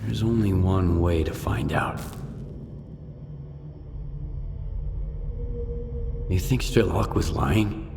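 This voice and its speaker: A man's voice: sits low, measured pace, gravelly, over music. An actor playing a determined, stoic male loner hero in a video game, terse and understated. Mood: resolute, wary. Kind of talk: dramatic video game cutscene acting.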